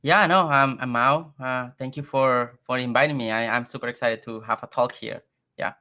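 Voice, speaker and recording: A man from Mexico, a native Spanish speaker with a Mexican accent, talking casually and haltingly as an interview guest. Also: medium pitch, fairly even intonation, pushed and bright. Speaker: guest, software engineer.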